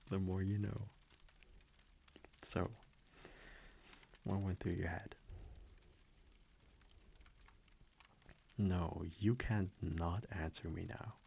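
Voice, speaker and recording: A tender immortal vampire boyfriend , played by a man, murmurs in a very deep, creaky voice. He takes his time, soft, breathy and affectionate with a gentle tease, as in a close ASMR roleplay. A faint noise sits behind him. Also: takes it slowly, pauses often, heavily acted. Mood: teasing. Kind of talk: intimate ASMR audio roleplay. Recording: faint background noise, crackling fireplace ambience.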